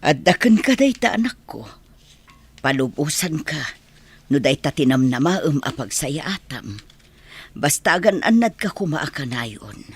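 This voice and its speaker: A woman playing a caring, protective village mother in a radio drama, with a husky Ilocano-accented voice. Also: low voice, very animated, a little slow, exaggerated voice. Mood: tender, accepting.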